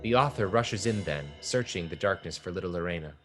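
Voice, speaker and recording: Male narrator for a play's stage directions, very low pitch, faint music beneath. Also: animated, fairly quick. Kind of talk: scripted narration of a stage play.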